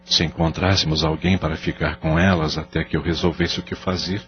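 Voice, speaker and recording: A man's voice: rumbling low, flat delivery, a little fast, slight background noise. An actor playing a remorseful, guilt-ridden husband and father who speaks in a weary, pleading way. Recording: dull lo-fi audio with faint music.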